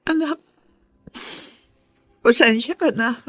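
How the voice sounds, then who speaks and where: A woman playing a weary, loving poor mother in a Filipino radio drama, gentle and apologetic in manner. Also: fairly high pitch, slow pace, soft background music. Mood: tender, sorrowful.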